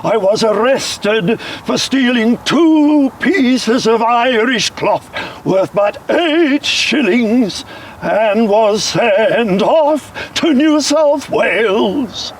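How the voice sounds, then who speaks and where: An older baritone with a scratchy voice, voicing an indignant male convict in slow, sung operatic lines. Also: expressive, recorded from a distance, heavily acted. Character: indignant male convict protesting his transportation.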